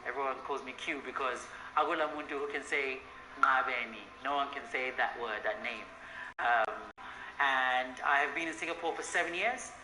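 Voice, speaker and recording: Zimbabwean designer in an echoey room. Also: male voice, mid-range voice, normal speed, background noise. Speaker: menswear designer and tailor. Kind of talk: interview self-introduction.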